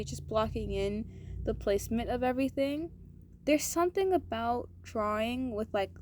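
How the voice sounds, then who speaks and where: A woman art vlogger with a slightly raspy, hoarse voice, chatting casually in a voice-over and rambling with lots of "like" fillers. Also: fairly high pitch, a little mellow, no echo. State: throat hoarse from cold air.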